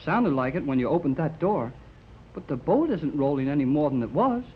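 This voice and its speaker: A man's voice: a little high, very animated, a little fast. An actor playing Jerry, an eager, folksy young man, in a 1930s radio drama. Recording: muffled old radio transcription with loud hiss.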